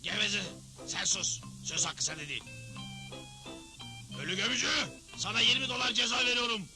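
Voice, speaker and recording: A man's voice: high-pitched, expressive, normal speed, over music. A man playing a pompous, greedy old frontier judge in a dubbed cartoon, declaiming officiously. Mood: officious, brazen. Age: older.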